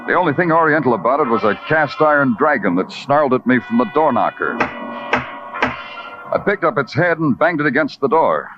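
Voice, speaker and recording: An actor playing a hard-boiled American police detective, sardonic and terse, in 1950s radio crime drama style. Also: male voice, mid-range voice, sing-song, fairly quick, close to an everyday voice. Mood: wry narration. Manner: sardonic, terse, florid noir phrasing. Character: wry, hard-boiled big-city police detective narrating his case. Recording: muffled old radio recording with music.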